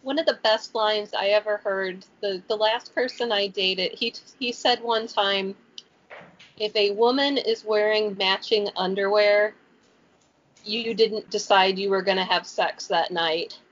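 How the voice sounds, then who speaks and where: A woman's voice: mid-range voice, steady tone, unhurried, fairly bright tone. Candid woman telling dating stories. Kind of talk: casual storytelling chat. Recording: dull lo-fi podcast audio.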